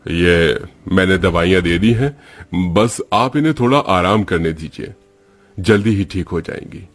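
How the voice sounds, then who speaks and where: A man's voice: sits low, lively intonation, unhurried, soft background music. A man voicing male characters in a family cartoon story, with an everyday manner. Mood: advising. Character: young male relative and friend, also family doctor.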